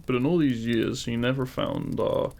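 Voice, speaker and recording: A man voicing a devoted, teasing demon king in an intimate romantic audio roleplay, speaking tenderly in courtly, formal phrasing with a gravelly voice. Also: medium pitch, moderate pace, darkish tone, close to an everyday voice. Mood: protective, tender.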